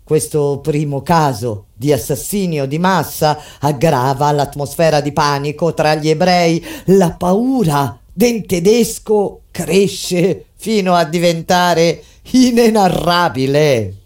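A woman delivers dramatic theatrical narration in a solemn, deliberate manner, with weighty pauses. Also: low voice. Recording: voice over loud plucked guitar music. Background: loud acoustic guitar music.

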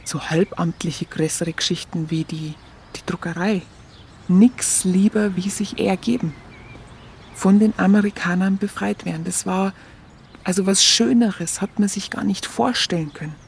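An actress playing a plainspoken, wry Munich woman with a Bavarian accent, telling her memories haltingly and conversationally in a radio play. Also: mid-range voice, vocal fry, slight background noise, natural voice. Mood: wry, chatty. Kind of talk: colloquial first-person narration in radio play.

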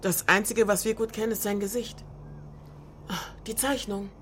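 A woman's voice: mid-range voice, fairly even intonation, normal speed, fairly bright tone, a little hiss or hum, close to an everyday voice. An actress playing a pragmatic woman helping a fugitive friend, in naturalistic radio drama dialogue. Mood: matter-of-fact.

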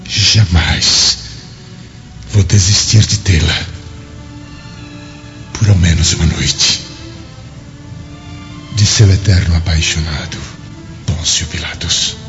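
An actor playing a lovesick, secretive Roman governor in an ardent, theatrical radio drama style. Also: male voice, low-pitched. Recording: muffled radio drama with music.

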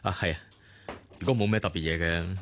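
An actor playing a calm, composed businessman in a radio drama, with a measured, reassuring Hong Kong Cantonese delivery. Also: low-pitched, a little hiss or hum, lightly acted.

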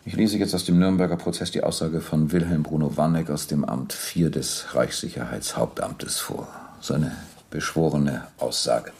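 An actor playing a stern, methodical police captain who questions a war criminal and reads out evidence formally in a German radio docudrama. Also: male voice, very deep voice, vocal fry, dark tone. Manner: formal, probing, insistent. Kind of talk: German radio docudrama acting, reading documents aloud.

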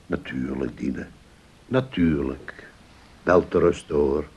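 An actor playing a kindly, concerned older uncle in a radio drama. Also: male voice, very deep voice, slow pace, darkish tone, husky, muffled recording, full character voice. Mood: concerned, gentle. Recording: noisy old radio play recording.

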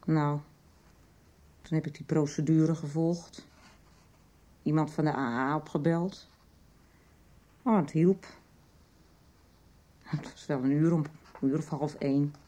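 An actress in a radio soap playing a troubled, wry mother and recovering alcoholic, middle-aged and halting. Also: sits low, fairly even intonation, warm tone.

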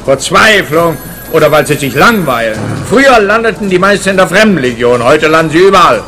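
A man's voice: on the high side, animated, a little fast, sharp tone, soft background music. An actor playing an opinionated, cynical man in a radio comedy, making dry, grumbling pronouncements. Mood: dismissive, sardonic. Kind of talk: radio play dialogue.

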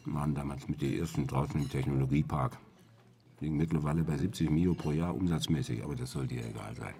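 A pushy middle-aged wheeler-dealer in a radio drama. His voice sits extremely low and crackles with gravel. He talks bluntly and cajolingly, like someone used to getting his way. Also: fast, warm tone. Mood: smug, persuasive. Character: brash, pushy middle-aged male fixer recruiting a driver. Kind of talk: German radio drama acting.

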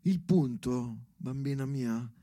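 A man's voice: medium pitch, slow pace, warm tone, dry recording, clean studio sound. A man performing a theatrical solo monologue, declamatory and rhythmic, with repeated phrases. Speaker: stage actor performing a solo show. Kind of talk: theatrical monologue addressed to his daughter.

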